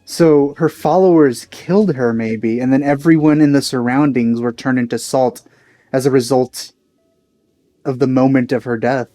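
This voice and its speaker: A man gives casual gameplay commentary in a mellow, mid-pitched voice. His reactions are low-key and musing, and he talks slowly with faint music behind him. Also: fairly even intonation. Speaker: let's play host.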